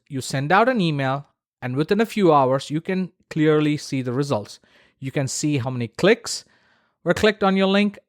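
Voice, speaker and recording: A man's voice: a little high, no echo, studio quality. A man hosting a solo podcast, explaining practical advice in a conversational way and repeating points for emphasis. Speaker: podcast host.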